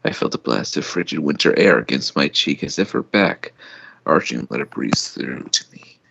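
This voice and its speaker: A man reading fan fiction as an amateur, with a husky, often breathy voice and a hammy, halting delivery. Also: very deep voice, animated, a bit bright, highs cut off. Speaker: story reader.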